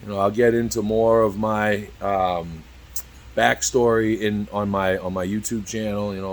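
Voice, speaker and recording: Rambling fifty-something man, low voice. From Cambridge. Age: 50s. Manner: halting, repeats "you know" constantly, swears freely. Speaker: YouTube vlogger. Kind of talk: rambling candid talk to camera.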